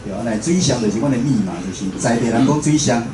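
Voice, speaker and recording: A man's voice: medium pitch, fast talker. A man with a southern Taiwanese accent, a radio presenter giving an informal talk as guest speaker. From Chiayi, southern Taiwan. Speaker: guest speaker, radio station head and programme host. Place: indoor room before a live audience. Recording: echoey room with clear background noise.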